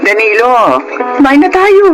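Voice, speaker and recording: An actress with a Filipino accent playing a caring, attentive wife in a radio drama, with a solicitous manner. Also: female voice, on the high side, very animated, a little slow, over music. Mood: caring, concerned.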